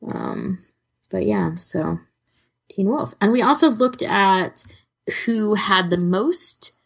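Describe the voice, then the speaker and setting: A woman's voice: medium pitch, huge pitch swings, slow, vocal fry, breathy edge. A woman co-hosting a podcast in casual, conversational chat. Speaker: podcast co-host.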